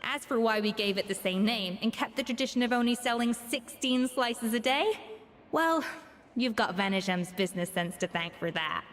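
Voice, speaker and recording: A woman's voice: on the high side, animated, normal speed, big-room sound, natural voice. A woman voicing a composed female head chef who speaks in a matter-of-fact, dryly dismissive way in a game.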